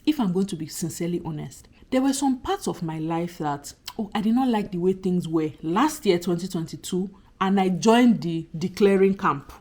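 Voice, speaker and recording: Slightly husky woman vlogging earnestly. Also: on the low side, expressive, normal speed, hardly any pauses, a little mellow. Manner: earnest, chatty, emphatic repetition. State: recovering from a cold. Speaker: YouTuber talking to subscribers. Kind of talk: casual reflective vlog monologue.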